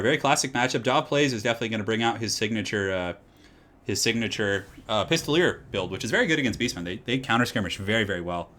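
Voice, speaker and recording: A man casting a strategy game tournament live and chatting with viewers, his slightly raspy voice getting hoarse, with excitable loud outbursts. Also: medium pitch. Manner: excitable, breaks into loud exclamations.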